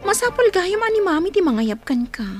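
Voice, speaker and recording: A woman's voice: very high-pitched, sing-song, a little fast, over loud music. An actress playing a sweet, coy young woman who is being courted in a radio romance drama.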